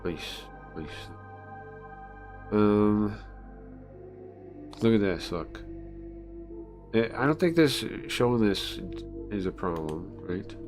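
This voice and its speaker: A man's voice: fairly low pitch, animated, takes it slowly, pauses often, darkish tone, soft background music. A man livestreaming casually, thinking aloud, muttering to himself and repeating phrases as he goes.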